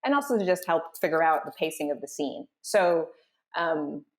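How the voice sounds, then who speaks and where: A woman's voice: deep voice, animated, normal speed. A woman hosting as a voice director, explaining scenes and reading out stage directions. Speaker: host and voice director.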